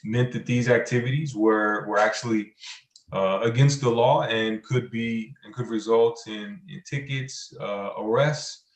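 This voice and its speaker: A man speaking as an expert panelist, explaining advocacy work in halting, measured phrases. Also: mid-range voice. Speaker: criminal justice program director, panelist. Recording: roomy, echoey sound.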